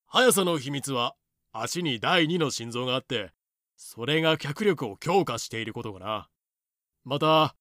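A man's voice: mid-range voice, fairly bright tone, no echo, clean studio sound. A man voicing a confident, matter-of-fact cockroach, explaining in casual masculine phrasing for a fan-made voice drama. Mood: confident, explanatory.

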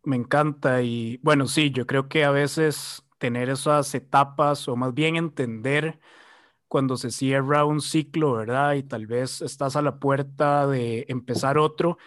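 A man's voice: mid-range voice, fairly even intonation, measured pace, a bit bright, dry recording, studio quality. A man with a Costa Rican accent hosting a podcast, asking curious questions in a casual chat. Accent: Costa Rican Spanish. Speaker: podcast host.

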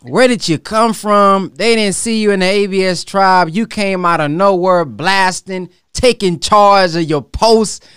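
A man hosting an interview, introducing his guest with energetic hype. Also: sits high, measured pace, clean tone, no room sound, clean studio sound. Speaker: host.

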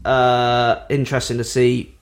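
A man's voice: medium pitch, completely flat delivery, unhurried. A man in his forties from England, co-hosting a casual podcast as an estate agent, chatty and blunt, swearing now and then.

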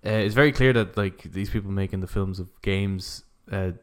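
Lower-voiced man in casual podcast chat. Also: moderate pace. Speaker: podcast co-host. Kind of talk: casual conversational discussion.

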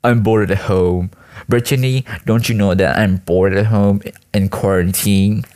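Low-voiced young Malaysian student, rambling casually. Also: male voice, steady tone, a little slow, a little mellow. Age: teens to young adult, school student. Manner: hesitant, self-deprecating, slangy. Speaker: student vlogger and aspiring actor.

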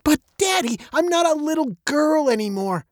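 A narrator as an eager, high-voiced little girl. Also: expressive, moderate pace, no echo, clean studio sound. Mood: pleading. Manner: pleading, insistent. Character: eager, pleading ten-year-old girl, stubborn and curious.

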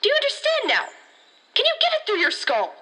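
A woman's voice: extremely high pitch, huge pitch swings, brisk, bright, forceful tone, full character voice. A woman playing an anxious, defensive teenage rookie ranger in an audio drama, insistent and sarcastic. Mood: anguished, angry.

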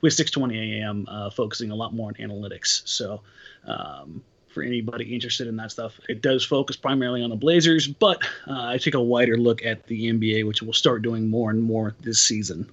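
A man with an even, mid-pitched voice talks through a casual podcast, steady and analytical with a dry, wry edge. The audio is slightly dull and lo-fi. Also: a little fast. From Portland area. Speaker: podcast guest, basketball writer.

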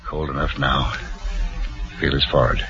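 An actor playing a cool, scheming murderer, smooth and curt, in a 1940s radio crime drama. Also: male voice, extremely low pitch, flat delivery, normal speed, music in the background. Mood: hushed, calculating. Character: cool, scheming male murderer posing as helpful friend. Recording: muffled old radio recording.

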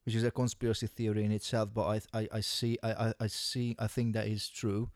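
A man chatting casually as a podcast co-host, stammering on starts and then rushing through long points. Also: fairly low pitch, flat delivery, darkish tone, no room sound, clean studio sound. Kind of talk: casual chat.

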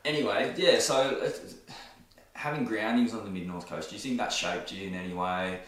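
Really echoey room, aussie bloke with a deep voice hosting a casual chat, bit hesitant. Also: male voice, brisk, a bit bright. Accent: Australian. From Australia, grew up in Newcastle, New South Wales. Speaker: interview host. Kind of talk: casual conversational interview. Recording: very echoey room, faint background noise.

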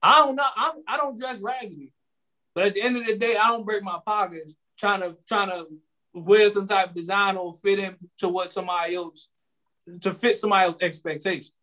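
Young man, late 20s, podcast co-host, high voice, loose rambling slang delivery. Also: fairly even intonation, fairly quick, sharp tone, rough recording. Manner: rambling, repeats himself, slangy. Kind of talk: casual podcast chat.

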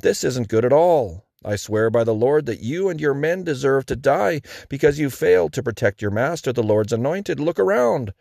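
A man's voice: mid-range voice, lively intonation, normal speed, vocal fry, hardly any pauses, a little mellow, no echo, studio quality, pitched above the performer's own voice. A man voicing a bold young warrior who calls out emphatically in a dramatized scripture reading. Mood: taunting. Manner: emphatic, rhetorical, calling out. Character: bold young warrior David, taunting and earnestly pleading.

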